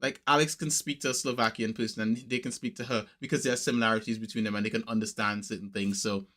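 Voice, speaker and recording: A man with an English accent, originally from Trinidad, talks loosely on a podcast. His voice is bright and forward, and he rambles with frequent restarts and fillers. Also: on the low side, fairly even intonation, quick pace. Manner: talkative, many restarts and fillers. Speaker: podcast co-host. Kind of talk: casual chat.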